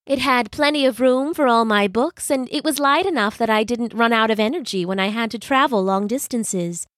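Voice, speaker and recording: A woman's voice: fairly high pitch, normal speed, fairly bright tone, no room sound, clean studio sound, lightly acted. A woman voicing a practical, kind female hermit crab with an earnest, encouraging manner in a children's story.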